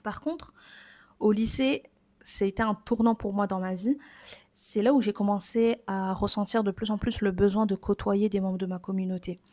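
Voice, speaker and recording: A woman's voice: medium pitch, fairly even intonation, normal speed, warm tone. A woman in her twenties with a French accent from France, hosting a personal, reflective podcast in an informal, confiding, conversational way. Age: 20s. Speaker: podcast host.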